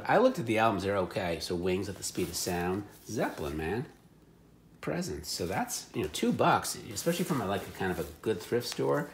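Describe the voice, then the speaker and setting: A man's voice: deep voice, reverberant, a little hiss or hum. A man showing secondhand finds in casual, offhand chat with a wry, joking manner.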